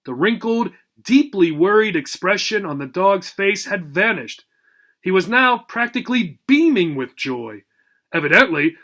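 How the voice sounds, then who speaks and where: A man reading a children's story aloud as a teacher, deliberate and expressive, emphasising words for learners. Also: high-pitched.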